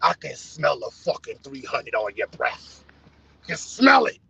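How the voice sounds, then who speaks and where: A man's voice: fairly high pitch, wildly swooping pitch, unhurried, vocal fry, sharp tone, a little hiss or hum. A man hosting a casual livestream, blunt and teasing as he talks straight to his viewers. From United States. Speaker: livestream host. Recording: dull, lo-fi sound.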